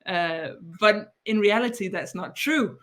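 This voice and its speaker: A woman's voice: mid-range voice, unhurried, fairly bright tone. Danish-accented woman, thoughtful podcast guest. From Denmark. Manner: thoughtful, frequent restarts and repeated words. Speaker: guest author. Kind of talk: podcast interview.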